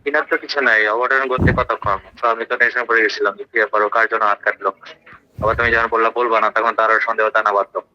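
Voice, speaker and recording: A man's voice: on the low side, steady tone, very fast, sharp tone. A man with a Bangladeshi accent chatting casually and insistently on a slightly dull, noisy phone call.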